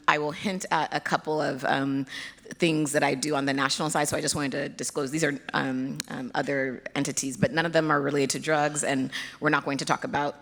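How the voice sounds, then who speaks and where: A woman's voice: sits low, creaky, a bit bright. A Nigerian American woman giving a chatty, self-deprecating conference talk on stage as a pediatric hematologist. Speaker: pediatric hematologist, keynote speaker.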